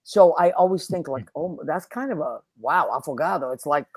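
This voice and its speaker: A chatty woman hosting a casual talk show, effusive and warm toward her guest and viewers, whose first language was Italian. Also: deep voice, animated, moderate pace, breathy edge, no room sound. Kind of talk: casual chat.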